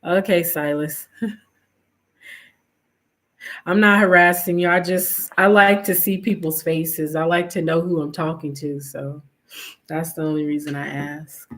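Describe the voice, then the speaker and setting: A woman's voice: sits low, steady tone, a little slow, pauses often, fairly bright tone. A woman, nasal and sniffly from allergies, casually chatting with viewers on her stream. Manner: chatty, reads viewer names, trailing fillers. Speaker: livestream host.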